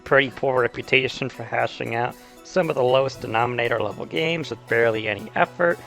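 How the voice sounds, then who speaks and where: A man's voice: medium pitch, a little fast, vocal fry, a bit bright, faint music behind. A man hosting a casual scripted video essay, talking in a wry, conversational and emphatic way. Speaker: YouTube host.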